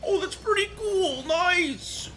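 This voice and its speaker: A very high, squeezed cartoon boyish character. The voice is strained and uncomfortable, with an awkward, hesitant manner, like an improvised animation role. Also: male voice, slow, pushed and bright, soft background music, heavily acted, poor audio. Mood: pleased. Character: squeaky, strained, nervous cartoon boy-like new character. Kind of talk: improvised cartoon voice on a game stream.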